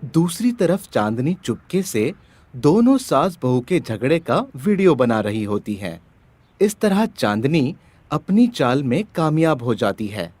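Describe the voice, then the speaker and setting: A man's voice: medium pitch, sing-song, unhurried, darkish tone, dry recording. A man narrating a scripted story as the storyteller.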